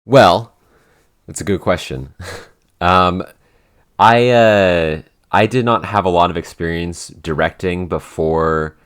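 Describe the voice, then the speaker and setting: Very deep-voiced young man chatting casually. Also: slow, clear, resonant tone. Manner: rambling, full of "like" and restarts, easy laughs. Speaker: podcast co-host, writer and director. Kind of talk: casual behind-the-scenes Q&A chat.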